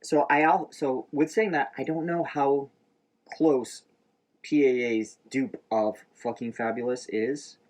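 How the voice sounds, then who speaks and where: A man vlogging about his shaving routine in a relaxed, rambling way with lots of fillers, a little stuffed up and nasal. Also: mid-range voice, takes it slowly. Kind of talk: casual chat to camera. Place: inside an RV.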